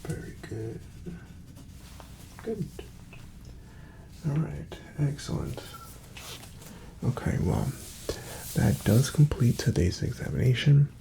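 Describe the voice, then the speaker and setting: A very creaky, soft male voice that often slips toward a whisper, playing a doctor in an ASMR exam. He murmurs gently and reassuringly to the listener in short, unhurried phrases. Loud background noise sits behind him. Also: mid-range voice, big pitch swings, poor audio. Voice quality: soft-spoken, breathy, often dropping to a whisper. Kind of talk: ASMR medical exam roleplay.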